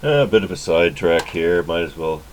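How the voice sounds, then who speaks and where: A man with a low voice talks casually through hands-on electronics work. He is unhurried and often mutters to himself as he goes. Faint noise sits behind him in an indoor workshop recording. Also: expressive. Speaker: electronics repair hobbyist, channel host. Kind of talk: casual hands-on workbench demonstration.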